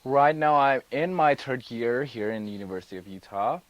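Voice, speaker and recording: A man's voice: mid-range voice, expressive, muffled recording, noisy background. A young man from Indonesia talks through his studies as a guest on a homemade TV-style interview. He explains carefully, often stopping to restart a word mid-sentence. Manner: careful, halting delivery with restarts. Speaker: student guest. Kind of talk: mock TV interview, explaining coursework.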